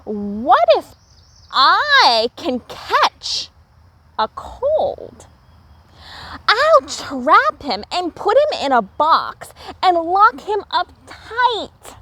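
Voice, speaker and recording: A woman voicing an excitable, earnest little boy raccoon in a children's storybook. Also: extremely high pitch, extremely slow, a little hiss or hum, pitched above the performer's own voice, full character voice. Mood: scheming, excited.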